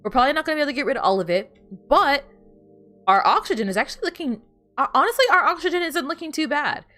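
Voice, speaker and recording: A woman's voice: sits high, animated, quick pace, bright, forceful tone, faint music behind. A woman whose first language is Spanish, chatting casually with viewers while she plays a video game, rambling and often restarting her sentences. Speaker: streamer.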